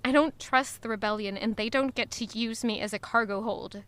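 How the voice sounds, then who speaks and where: A woman's voice: on the high side, normal speed, a bit bright, close to an everyday voice. A woman acting a spirited, guarded young noblewoman and lady-in-waiting, in audio drama dialogue and narration. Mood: wary, pondering.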